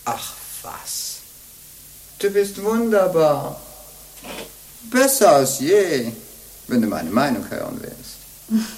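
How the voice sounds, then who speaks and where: An older actress playing a sly, jovial old bawd in a radio play, teasing and wheedling in a theatrical way. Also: female voice, deep voice, very animated, takes it slowly, long pauses, darkish tone, slight background noise, exaggerated voice. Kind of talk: German radio play acting.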